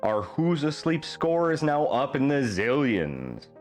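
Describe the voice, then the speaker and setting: A man reading a rhyming children's bedtime story aloud in a playful, theatrical manner with deliberate phrasing. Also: medium pitch, big pitch swings, soft background music. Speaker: storybook reader.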